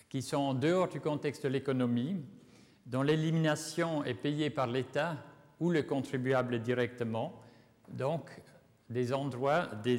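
A man from Switzerland, speaking a language that is not his native one, gives a measured, deliberate conference lecture with occasional slips. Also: mid-range voice, darkish tone. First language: not French. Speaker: guest lecturer. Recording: long reverb of a lecture hall.

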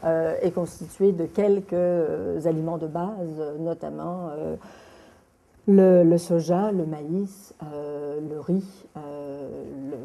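Woman, middle-aged, filmmaker guest, halting with fillers, very dark tone. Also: low-pitched, steady tone. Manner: halting, many filler hesitations. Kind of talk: interview answer.

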